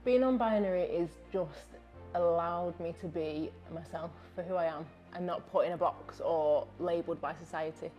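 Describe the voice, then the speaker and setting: A woman-voiced non-binary person from Manchester answering softly, often trailing off. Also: on the low side, normal speed, muffled recording, soft background music. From Manchester, England. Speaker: interviewee. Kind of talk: casual interview answers.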